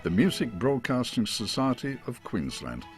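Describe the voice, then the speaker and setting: An Australian man reading a scripted promotional narration in a measured, formal announcer's manner. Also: mid-range voice, big pitch swings. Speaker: radio station presenter. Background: music.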